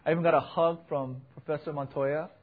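Young male pastor, loud earnest preacher, husky tone, a touch high, muffled lo-fi recording. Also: fairly even intonation, fairly quick. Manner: earnest, emphatic, rising to fervent peaks. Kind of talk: sermon with personal report.

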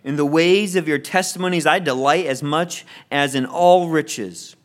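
A man's voice: fairly high pitch, unhurried. A man leads a church class, reading verses aloud and asking the group for thoughts. He talks in a friendly, loose way and often stumbles and repeats words as he goes. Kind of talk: leading a Bible study class.

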